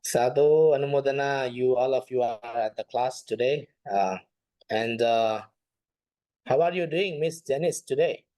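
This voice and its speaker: A man's voice: medium pitch, measured pace, dark tone, dry recording, poor audio. A man teaching an informal online class as a Buddhist monk, speaking hesitantly and often repeating phrases. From Nepal.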